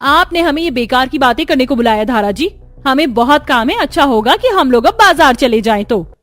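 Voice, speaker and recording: A woman voicing characters in a cartoon family story with animated, emphatic delivery. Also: high voice, brisk. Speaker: voice actor for several cartoon characters. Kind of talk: cartoon dialogue acting.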